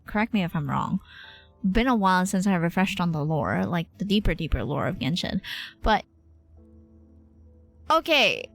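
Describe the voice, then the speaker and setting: A woman game streamer chatting casually live, thinking out loud, exclaiming and swearing freely. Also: mid-range voice. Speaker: streamer reacting to a role-playing game. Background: game music behind the voice.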